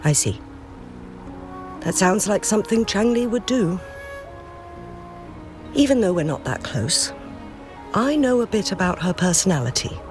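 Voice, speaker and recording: A man playing a courteous, composed townsman in a video game, speaking in a polite, measured, formal way. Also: high voice, animated, music in the background. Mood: courteous, thoughtful. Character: courteous, composed male town acquaintance, earnest, thoughtful.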